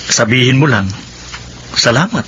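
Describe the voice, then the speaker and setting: A man in a Filipino radio drama playing a brusque but kindly doctor with a brisk, matter-of-fact manner. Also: medium pitch, a bit bright, a little hiss or hum, lightly acted. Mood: firm, kind. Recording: dull lo-fi sound, music behind.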